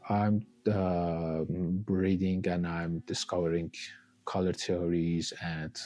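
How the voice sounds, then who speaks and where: Slow, deep-voiced Iranian man, hesitant. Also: flat delivery, dark tone, soft background music. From Iran. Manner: hesitant, pausing with many uh fillers. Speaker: artist guest. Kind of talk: casual interview chat.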